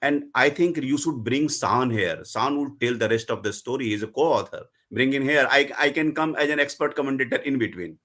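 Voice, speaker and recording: A man's voice: mid-range voice, brisk, hardly any pauses, fairly bright tone. A middle-aged man, a festival panelist who tells stories at length and retells scenes with gusto. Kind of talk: animated book discussion at a festival.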